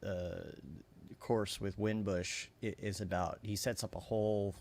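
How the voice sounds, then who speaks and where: A man's voice: fairly low pitch, steady tone, unhurried, creaky, a little mellow. A man co-hosting a podcast, chatting casually and thinking aloud as he talks. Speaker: podcast co-host. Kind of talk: casual chat.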